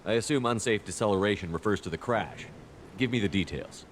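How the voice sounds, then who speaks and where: An actor playing a direct, no-nonsense squad commander asking questions in a science fiction game. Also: male voice, lowish voice, fairly quick, slight background noise, close to an everyday voice. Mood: brisk, inquiring. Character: brisk, commanding male space soldier leading an investigation.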